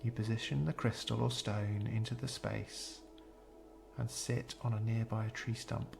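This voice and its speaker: A man narrating a scripted guided meditation in a measured, soothing, gentle way, pausing between phrases. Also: on the low side, fairly even intonation, vocal fry, over music.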